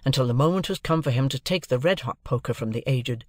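A man's voice: mid-range voice, fast, no echo, studio quality. A man reading a classic novel as scripted audiobook narration.

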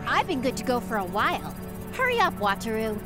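An actress playing a brisk, spirited, capable young woman in an anime game dub. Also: on the high side, wildly swooping pitch, over music.

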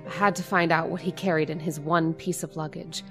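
A woman's voice: deep voice, steady tone, a little fast, fairly bright tone, soft background music. An actress playing a frightened middle-aged landlady and mother who narrates her suspicions and stammers when flustered, in a radio drama. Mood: tense. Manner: nervous, stammering.

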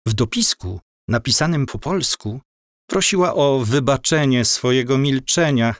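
A male narrator tells a scripted story for an audio drama. His pace is slow and measured, his pitch is average, and the sound is dry and close. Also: no room sound.

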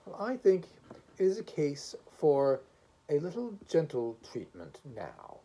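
A reader voicing a gentle, patient advocate who pleads kindly and reasonably in measured phrases. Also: mid-range voice, huge pitch swings, breathy edge, pitched above the performer's own voice, heavily acted, rough recording. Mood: kindly, reasoning.

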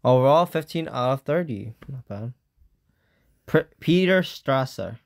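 A woman hosting a casual chat, rating game characters out loud, hesitant, with many fillers and restarts. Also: rumbling low, expressive, unhurried. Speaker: host.

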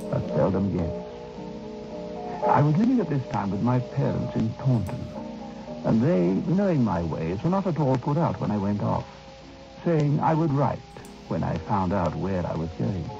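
An actor playing a reflective man recalling a youthful summer, in measured, literary radio drama narration. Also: mid-range voice, vocal fry. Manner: measured, literary, wistful storytelling. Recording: dull vintage radio recording with music behind.

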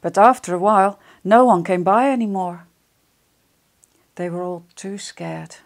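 A middle-aged woman reading a picture book to young children, expressive and deliberate, addressing them directly. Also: mid-range voice. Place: small wood-panelled room indoors. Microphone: lapel microphone.

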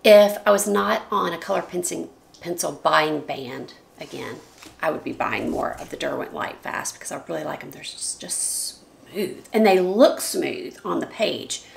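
A woman in her thirties with a scratchy, hoarse voice, chatting casually about her art supplies at a desk in her home studio, often correcting herself and trailing into soft asides. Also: low-pitched, lively intonation, moderate pace. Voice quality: scratchy, gravelly voice. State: hoarse from allergies. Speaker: art vlogger. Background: faint room noise, dog scratching.

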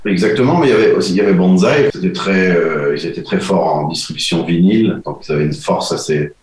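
A man in his forties, a DJ guest speaking in a relaxed, halting way, repeating words as he searches for them, on a video call from a home studio. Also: low voice. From Belgium. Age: 40s. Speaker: DJ and producer guest. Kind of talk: casual interview on a video call. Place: home music studio, indoors. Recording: roomy video call audio.

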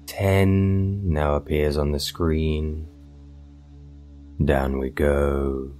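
Very deep, slow male meditation guide. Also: level tone. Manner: soothing, hypnotic, measured delivery with long pauses. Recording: voice over meditation music. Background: soft meditation music.

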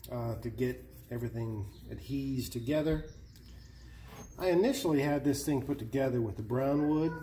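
A man in his fifties casually narrating hands-on garage work, with halting, hesitant phrasing. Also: mid-range voice, warm tone, a little hiss or hum. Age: 50s. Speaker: DIY hobbyist host. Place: indoor garage workshop.

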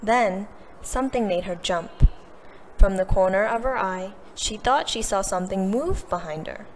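A woman with a mid-range voice reads a children's storybook aloud. Her delivery is expressive, with the characters' lines acted out. Some noise sits behind her voice. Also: medium pitch, normal speed, in a large hall. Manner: animated delivery, acting out the characters' quoted lines. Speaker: storybook reader. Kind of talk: expressive read-aloud of a children's picture book. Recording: clearly noisy background.

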